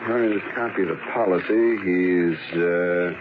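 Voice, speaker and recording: A man's voice: deep voice, normal speed, natural voice. An actor playing a mild, cautious male insurance man in a 1950s radio detective drama, businesslike and slightly hesitant. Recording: noisy, muffled vintage radio recording.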